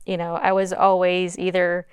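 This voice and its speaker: A woman moderating a conversational stage panel, speaking in a halting way with frequent ums. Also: lowish voice, monotone, clear, resonant tone, no echo. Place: conference stage.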